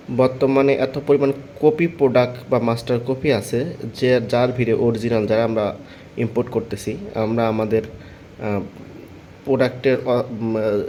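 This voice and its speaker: Male seller, Bangladeshi accent, mid-pitched, informal explanatory demo delivery, some background noise. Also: darkish tone. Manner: explanatory, repetitive, often checking listeners follow. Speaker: seller presenting a product. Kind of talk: informal product demonstration and sales pitch.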